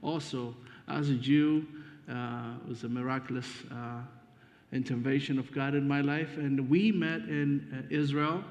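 Ethiopian-born preacher in an echoing church. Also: male voice, mid-range voice, steady tone, measured pace, a little mellow. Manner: friendly, hesitant delivery with fillers. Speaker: guest preacher. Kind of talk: sermon introduction. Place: church sanctuary. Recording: dull muffled sound with long reverb.

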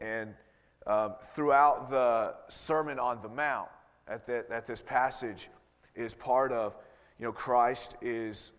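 A man in his thirties with a rough voice, preaching a sermon and reading scripture, talking conversationally with frequent ums and restarts. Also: mid-range voice, in a large hall. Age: 30s.

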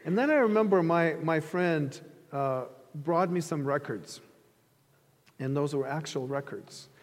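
A man's voice: on the high side, expressive, measured pace. A man from Ukraine preaching a sermon as a pastor, in a conversational storytelling manner. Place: large reverberant room, preaching to an audience. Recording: hall reverb.

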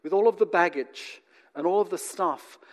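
Man, notably high-pitched voice, conversational sermon, teacherly delivery, deliberate pauses, asides to listeners. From born in England, grew up in New Zealand, lives in Australia. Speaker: preacher.

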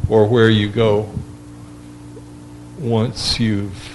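A man with a big voice in a church discussion group, reading a scripture passage aloud and then reflecting on it slowly and deliberately. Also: on the low side, little pitch movement, very noisy. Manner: slow and deliberate.

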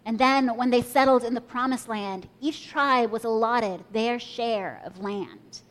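Young woman preacher, slightly high voice, thoughtful sermon delivery. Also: a little slow, low-quality recording. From Kenya and the US. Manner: reflective storytelling, invites listeners to imagine.

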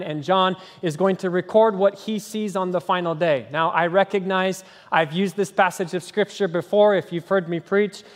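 High-voiced preacher in a reverberant hall. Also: male voice. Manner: animated preaching, tag questions and sung snippets. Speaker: pastor. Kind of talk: sermon. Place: church sanctuary.

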